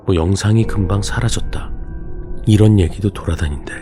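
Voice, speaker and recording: A man narrating a ghost story in a casual, slow and suspenseful way. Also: lowish voice, warm tone, soft background music.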